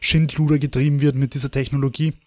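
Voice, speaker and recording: A man's voice: medium pitch, flat delivery, quick pace, a little mellow, no room sound. Chatty man with a dry voice. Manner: chatty, laughs between remarks, retells plots at length. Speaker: film podcast co-host. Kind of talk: casual film discussion.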